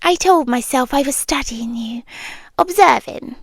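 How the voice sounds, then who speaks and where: High, whispery British monster girl, acted by a woman. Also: no echo, studio quality. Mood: fond, obsessive. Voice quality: breathy, often dropping into whisper. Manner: hushed, deliberate, wistful storytelling with pauses. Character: ancient, lonely monster girl, tenderly obsessive yandere lover. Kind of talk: slow intimate ASMR roleplay. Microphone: close, dry microphone.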